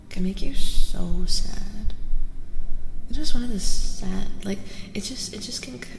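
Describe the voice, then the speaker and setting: Soft-spoken, echoey ASMR woman, slow and gentle. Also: mid-range voice, gravelly, slight background noise. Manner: gentle, unhurried, often dropping into whispers. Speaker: streamer host giving a tarot reading. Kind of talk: soft-spoken ASMR, casual live chat and reading. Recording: echoey reverb with soft music.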